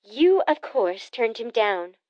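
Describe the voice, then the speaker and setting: A woman narrator voicing a brisk, teasing adult sister in an audiobook, pushy and quick to needle. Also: on the high side, sing-song, a little mellow, no echo, highs cut off, voice pushed up. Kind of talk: audiobook character voice.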